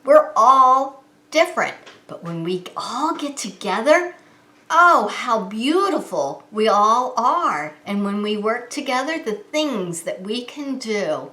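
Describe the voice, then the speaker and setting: Woman, preschool teacher, children's read-aloud, very lively intonation, simple expressive explanations, echoey room. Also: on the high side, slow. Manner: expressive, simplified talk addressed to young children. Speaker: preschool teacher and story time host. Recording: roomy, echoing indoor sound.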